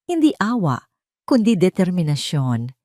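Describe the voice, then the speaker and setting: A woman's voice: fairly low pitch, very animated, a little slow, warm tone, no room sound, clean studio sound. A woman narrating a scripted romantic drama as a storyteller, in a dramatic style.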